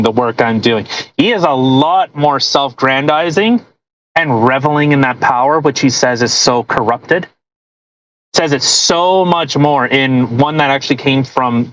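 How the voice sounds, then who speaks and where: A woman's voice: rumbling low, low-quality recording. A woman hosting a podcast, chatting casually in a rambling, incredulous and mocking way. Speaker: podcast host. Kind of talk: casual chat.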